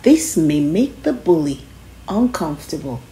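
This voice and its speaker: Slow-paced woman reading to children, lively pitch. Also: poor audio. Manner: instructive, emphatic on key phrases. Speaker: children's book reader. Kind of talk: read-aloud of a children's self-help book.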